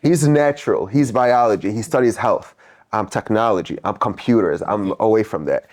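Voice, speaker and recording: A man's voice: on the low side, normal speed, a little mellow. A man from South Lebanon guesting on a podcast, speaking reflectively and checking in with "right?". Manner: reflective, explains with repeated "right?". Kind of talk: casual chat.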